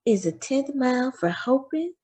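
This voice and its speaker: A woman's voice: high voice, expressive, normal speed, dark tone, pitched above the performer's own voice. A woman voicing a curious, eager little boy in a storybook, with childlike questioning. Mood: hopeful. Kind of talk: storybook character voice.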